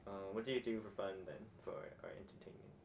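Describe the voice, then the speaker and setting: A man's voice: lowish voice, animated, quick pace, a little hiss or hum. A man interviewing casually, filling his questions with "like" and "um". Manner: casual, hedges with "like" and "um".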